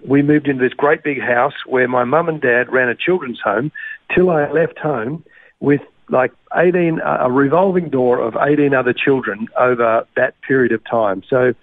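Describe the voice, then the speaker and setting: A man's voice: mid-range voice, moderate pace, no room sound. Australian man on a tinny line. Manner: rambling with restarts. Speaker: guest. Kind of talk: casual interview.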